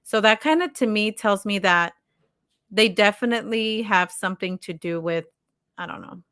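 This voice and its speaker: A woman's voice: mid-range voice, moderate pace, a bit bright, smooth. A woman co-hosting a live stream in casual conversation, chatty and informal, with exclamations and slangy asides. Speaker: podcast co-host.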